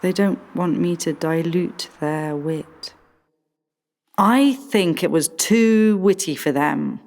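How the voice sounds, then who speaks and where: A woman playing a wry, brooding figure in a poetic radio play, speaking deliberately. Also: lowish voice, gravelly, darkish tone. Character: wry figure brooding over the witty guys. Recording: long reverberant hall, mallet music behind.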